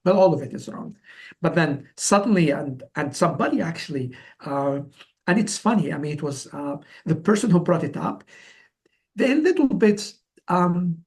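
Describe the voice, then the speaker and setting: Man in his forties, from Algeria, slightly high voice, halting conversational storytelling, repeated words, interview guest. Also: animated. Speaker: interview guest, company founder.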